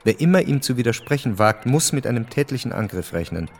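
An actor as the sober narrator of a historical radio play, giving grave, measured present-tense narration. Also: male voice, mid-range voice, sing-song, a little hiss or hum.

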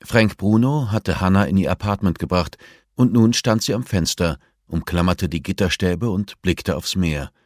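A man's voice: low voice, normal speed, no echo, studio quality. A man reading a novel as scripted audiobook narration.

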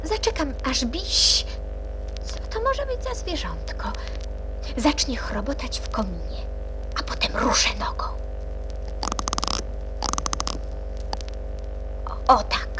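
A plucky little girl from a children's radio play, curious and thinking aloud. Her high voice is very melodic and expressive, with prominent music behind it. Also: a little slow, exaggerated voice, low-quality recording. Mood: sly, hushed. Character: curious, plucky young girl lost in wonderland.

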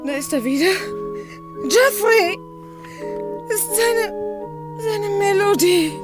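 A shrill, hysterical, desperate wife. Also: female voice, expressive, slow, full character voice. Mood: frightened, tearful. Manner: shrill, wailing, overwrought. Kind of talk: German radio crime drama acting. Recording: echoey, music loud behind voice.

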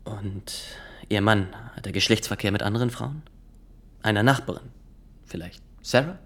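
A man acts out a zealous inspector of nineteen in a radio drama. His voice sits low for a man. He speaks formally, pressing his questions with an insistent yet halting delivery. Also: close to an everyday voice. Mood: clinical, insistent. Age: young adult. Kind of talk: German radio drama acting.

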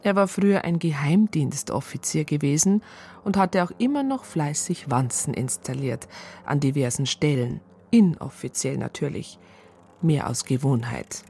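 A woman with a husky voice reading scripted narration for a radio drama. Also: low voice, normal speed, warm tone.

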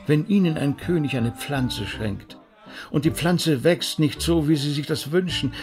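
An actor playing an earnest, tactful man who pleads politely and gently in a radio drama. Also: on the high side, a little slow, soft background music, natural voice. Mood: earnest pleading. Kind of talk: German radio drama acting.

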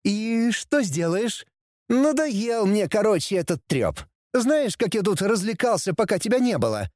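A man's voice: high-pitched, sing-song, measured pace, darkish tone, dry recording, clean studio sound, pitched above the performer's own voice. A man voicing a bratty young demon prince in a storybook reading, with an insolent, whining, taunting manner. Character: bratty, cheeky young demon prince, mocking his father.

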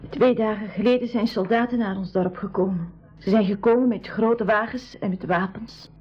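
A woman's voice: medium pitch, normal speed, slightly rough, natural voice. Woman as timid teenage war refugee in a radio play: hesitant, frightened, dull lo-fi sound. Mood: frightened, subdued. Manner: hesitant, sparing with words. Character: shy, frightened seventeen-year-old refugee village girl. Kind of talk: 1980s school radio play acting. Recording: dull lo-fi 1980s radio recording.

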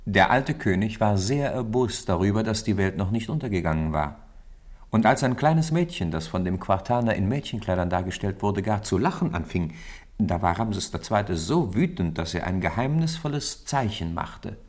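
A man's voice: on the low side, lively intonation, moderate pace, darkish tone. A man narrating a children's radio play in scripted storybook style.

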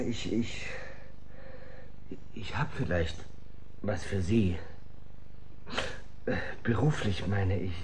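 A scared washed-up writer in a radio play, slow and whiny, noisy background. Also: male voice, lowish voice, darkish tone. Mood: hesitant, subdued. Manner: stammering, evasive, whining. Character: cowering, self-pitying great writer, drunk and afraid. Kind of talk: radio drama acting.

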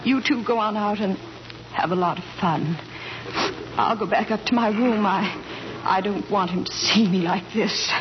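An actress playing a sly pretend wife, sweetly martyred and stubborn, in 1940s radio comedy style. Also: female voice, medium pitch, normal speed, natural voice. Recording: muffled, faintly noisy 1940s radio recording.

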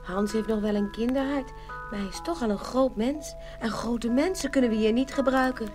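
Woman voicing a friendly carnation, high voice, confiding, festive, children's fairy-tale audio play. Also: moderate pace, darkish tone, faint music behind, lightly acted. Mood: friendly, explaining.

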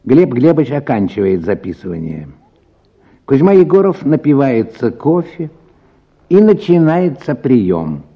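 A man's voice: mid-range voice, very animated, measured pace, creaky, slightly rough, muffled recording. A man narrating a scripted story as part of a Russian radio drama.

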